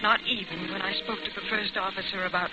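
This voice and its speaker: An actress playing a nervous, troubled young woman passenger in 1940s radio suspense style, with halting, dramatic delivery. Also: medium pitch, steady tone, lightly acted. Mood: uneasy, halting. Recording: muffled old radio broadcast, loud music behind.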